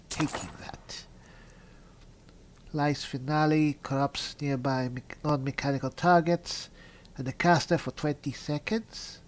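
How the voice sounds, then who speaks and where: A man plays through a fantasy roleplaying game, speaking as his character. He is polite and thinks out loud, shifting between in-character lines and reading game text at a medium pitch. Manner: polite, musing aloud, reads spell descriptions out. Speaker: gaming video host and player.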